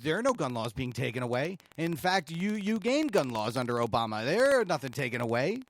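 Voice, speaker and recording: Lively, sarcastic middle-aged man commentator. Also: medium pitch. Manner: sarcastic, exasperated, halting. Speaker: podcast host, commentator. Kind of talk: commentary on played clips.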